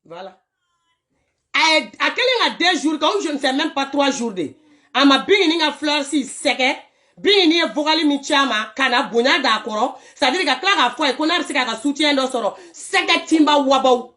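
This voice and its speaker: A woman commenting casually on voice notes for her followers, animated and scolding, often laughing as she talks. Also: sits high, normal speed. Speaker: social media commentator.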